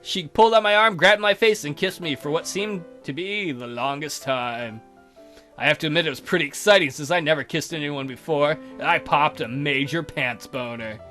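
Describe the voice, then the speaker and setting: A man's voice: a little high, normal speed, pushed and bright, soft background music. A man hosting a let's play, reading a visual novel aloud with crude, mocking asides.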